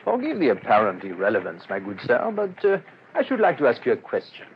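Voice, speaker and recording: An actor playing a methodical, dryly witty French police inspector in a radio drama, speaking formally and courteously. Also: male voice, mid-range voice, wildly swooping pitch, fairly quick. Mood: polite, urgent. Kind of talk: old-fashioned radio drama acting. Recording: dull lo-fi radio drama with noise.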